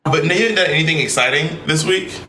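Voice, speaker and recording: A man's voice: a little high, a little fast, pushed and bright. A man in his early thirties bantering and telling stories as a podcast co-host, sassy, theatrical and teasing. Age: early 30s. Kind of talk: casual comic banter and storytelling. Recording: echoey room, clear background noise.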